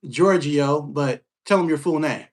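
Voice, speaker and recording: Male podcast host, relaxed and slangy, a bit high in pitch. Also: unhurried. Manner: laid-back, slangy, frequent shout-outs. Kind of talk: casual interview chat.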